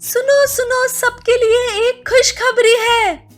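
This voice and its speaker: A woman voicing a high-pitched bossy tigress queen. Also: little pitch movement, slow pace, soft background music. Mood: announcing. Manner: sarcastic, commanding. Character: bossy clever tigress queen, quick to scold. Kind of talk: animated animal fable dialogue.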